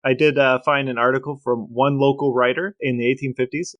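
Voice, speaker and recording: A man's voice: medium pitch, fairly even intonation, brisk, no echo, lo-fi sound. A man with an American accent sharing stories in casual podcast conversation, with dry, understated humor. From Elmore, Ohio, United States. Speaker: podcast co-host. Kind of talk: casual chat with researched stories.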